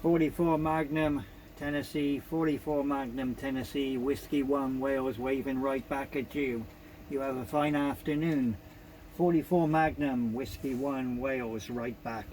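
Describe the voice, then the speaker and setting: A man's voice: medium pitch, steady tone, unhurried. A man from the United Kingdom making polite CB radio calls, repeating his call signs and phrases. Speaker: CB radio operator. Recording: noisy CB radio recording. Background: radio noise.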